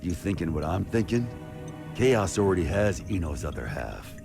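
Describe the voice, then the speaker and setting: A man playing a gruff, blunt, world-weary fighter in a video game, terse and dry. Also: low-pitched, big pitch swings, moderate pace, music in the background, exaggerated voice. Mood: grim, dry. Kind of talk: English game voice acting.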